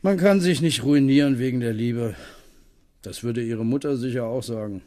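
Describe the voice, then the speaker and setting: An actor playing a kindly, practical farm tenant in a German radio play, talking in a coaxing, homely way. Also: male voice, medium pitch, moderate pace, warm tone, close to an everyday voice. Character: plain-spoken middle-aged male farm tenant, kindly, practical.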